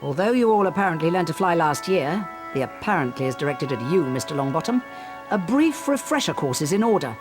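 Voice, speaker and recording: No-nonsense flying instructor witch, lively, dry commands, soft music behind. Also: fairly low pitch, fairly quick. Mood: brisk.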